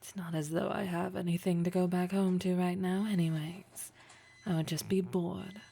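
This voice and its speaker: A woman playing a poised, teasing, wealthy female boss in an intimate, breathy audio roleplay, speaking with deliberate, flirtatious formality. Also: on the low side, level tone, warm tone. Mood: composed, businesslike. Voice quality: breathy, husky.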